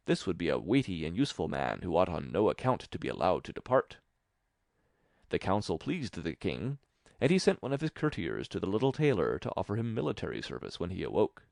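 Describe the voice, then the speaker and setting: Deep, animated male storybook narrator. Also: vocal fry, no echo, clean studio sound. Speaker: audiobook narrator. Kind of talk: scripted fairy tale narration.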